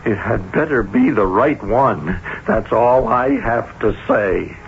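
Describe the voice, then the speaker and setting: An actor playing a mild, earnest banker in a radio drama, hesitant and prone to stammering. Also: male voice, on the low side, slow, creaky, faint music behind. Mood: solemn. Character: mild, earnest, easily flustered quiet male banker. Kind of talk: old-time radio drama acting. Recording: muffled, hissy old radio broadcast.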